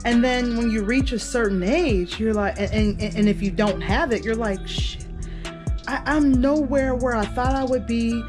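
A woman's voice: on the high side, moderate pace, music in the background. A woman in her thirties talking candidly to the camera in a casual home vlog, laughing easily. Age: 30s. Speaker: vlogger. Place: bedroom at home.

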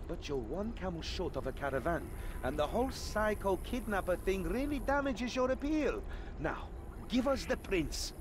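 An actor playing a cocky, sarcastic young adventurer in a video game, flippant and expressive. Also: male voice, sits high, moderate pace, noisy background.

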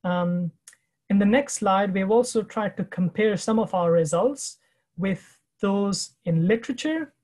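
A man presenting research findings in a webinar over a video call, explaining slides in a measured way with frequent pauses and fillers. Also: sits high, a little mellow. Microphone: video call webcam.

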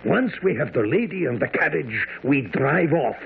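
An actor playing a confident, persuasive male criminal with a gravelly, husky voice in a radio drama. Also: on the high side, lively intonation, normal speed, exaggerated voice. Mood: scheming, reassuring. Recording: tinny lo-fi old radio broadcast.

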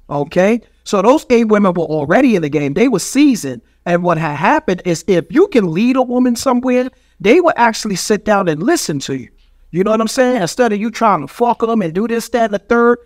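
A middle-aged man with a New York accent speaks in a high-pitched voice. He tells stories emphatically, peppered with slang, swearing and little check-in tags. The sound is dry and close, as in a padded podcast studio. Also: no room sound. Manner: emphatic, street slang, heavy swearing, frequent "you know" tags. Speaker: podcast guest. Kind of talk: casual storytelling in a podcast interview. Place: small studio with foam-padded wall. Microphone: studio microphone on boom arm.

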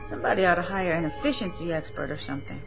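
A woman's voice: fairly low pitch, animated, rapid-fire, gravelly. An actress playing an indignant, insistent woman customer at a department store in a radio play. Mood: indignant, sarcastic. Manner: insistent, complaining. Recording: music playing behind the voice.

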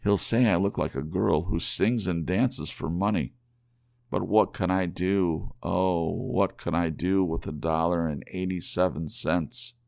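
Man playing a devoted young wife, storybook reading, pleading, anxious, raised pitch, gravelly edge. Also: fairly even intonation, unhurried, darkish tone, no echo, studio quality. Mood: worried. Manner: earnest, imploring.

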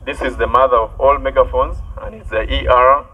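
A young man giving a product demonstration outdoors in short, halting statements, amplified through a megaphone. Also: mid-range voice, steady tone, narrow, tinny audio. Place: outdoors by a building wall, some distance from camera. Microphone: megaphone and headset into portable speaker.

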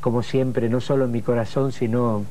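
An Argentine man in his sixties tells personal memories as a talk show guest. His tone is dark and relaxed, over slight studio broadcast noise. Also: mid-range voice, moderate pace. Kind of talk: conversational reminiscence. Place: television studio.

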